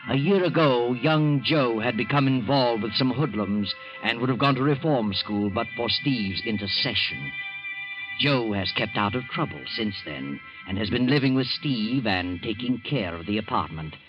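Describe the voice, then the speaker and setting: An actor playing a mysterious narrator who walks by night, telling a tale slowly and ominously in a 1940s radio drama. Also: male voice, medium pitch, animated, natural voice. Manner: slow, ominous storyteller. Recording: dull old radio broadcast with music.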